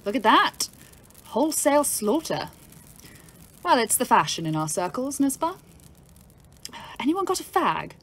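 An actress playing a brash, irreverent, upper-class young British ambulance driver, bold and mocking in an audio play. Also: female voice, sits high, big pitch swings, a little slow, long pauses, slight background noise, heavily acted. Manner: bold, mocking, salty-tongued.

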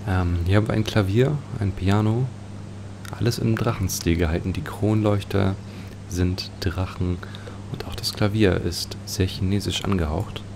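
A man's voice: sits low, fairly even intonation, moderate pace. A man commenting casually on a video game, musing aloud as he describes what he sees. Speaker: let's play host. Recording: clearly noisy home recording.

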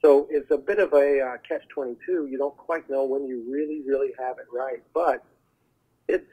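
A man giving explanatory advice as an interview guest over the telephone, haltingly searching for his words. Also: medium pitch, fairly even intonation, warm tone, husky. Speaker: guest, voiceover agent. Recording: muffled narrowband phone line.

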